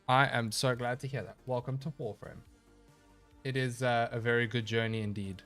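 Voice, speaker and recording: A relaxed man talks through a live gaming stream into a desk mic, rambling loosely and answering viewers as he goes. His voice sits at an ordinary middle pitch and carries a slight stuffy, nasal edge. Quiet game music plays underneath. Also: normal speed. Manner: laid-back, rambling, reads chat aloud and answers. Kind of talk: casual live-stream chat while gaming.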